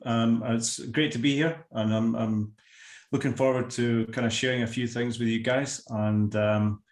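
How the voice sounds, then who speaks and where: A Scottish man in his sixties chats as a guest over a video call, his middle-pitched voice ringing in a roomy, echoey space. He talks in an unhurried, self-deprecating way, often restarting his sentences. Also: fairly even intonation. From Scotland. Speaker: guest artist. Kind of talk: casual interview chat.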